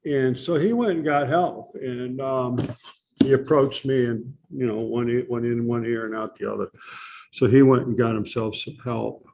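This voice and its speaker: A soft-spoken man sharing his recovery story at a support meeting, halting often with pauses and fillers. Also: mid-range voice, slight background noise. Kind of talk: personal recovery share.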